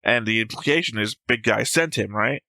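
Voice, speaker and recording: A man's voice: fairly low pitch, moderate pace, vocal fry, sharp tone, dry recording. A man co-hosting a reaction show, casually talking through his notes, analytical and jokey. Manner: analytical, jokey, acts out quotes. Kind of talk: casual discussion reading notes.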